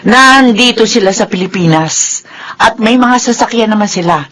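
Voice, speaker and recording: A woman's voice: mid-range voice, normal speed, fairly bright tone, natural voice. A woman playing an outspoken, concerned middle-aged auntie in a Filipino radio drama, exclamatory and emphatic. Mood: indignant. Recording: noisy radio broadcast with background ambience.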